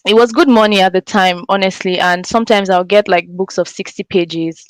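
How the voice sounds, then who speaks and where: Smooth-voiced young woman. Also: medium pitch, steady tone, moderate pace, dry recording. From Cameroon. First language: French. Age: young adult. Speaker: interview guest, university alumna. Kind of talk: casual conversational storytelling.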